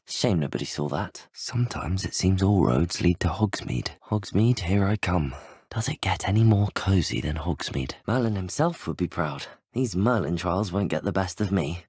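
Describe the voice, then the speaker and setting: An actor playing a curious, plucky young wizard student in a video game, musing to himself in a polite, wry way with a husky, breathy voice. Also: male voice, deep voice, dry recording, studio quality, full character voice. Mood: proud, pleased.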